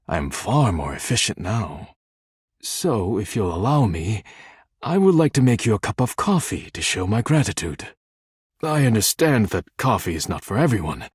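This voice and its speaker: An actor voicing a proud, earnest, reserved poetic dish character in a video game, speaking in formal, measured, grave phrases with a husky voice. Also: male voice, lowish voice, a little mellow, no echo, clean studio sound, exaggerated voice. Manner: formal, measured, grave, carefully worded diction.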